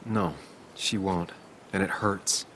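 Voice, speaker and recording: An actor playing a gentle, weary, consoling man in a dramatic video game, speaking in a measured and reassuring way. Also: sits low, fairly even intonation, a little hiss or hum. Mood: somber, gentle.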